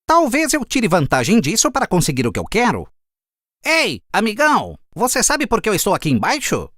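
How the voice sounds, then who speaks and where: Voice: medium pitch, wildly swooping pitch, fairly quick, a bit bright, dry recording, clean studio sound. An actor voicing a clever, sly fox in a children's cartoon fable, coaxing and smug in a theatrical way. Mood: scheming. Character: clever sly fox who sweet-talks and teases.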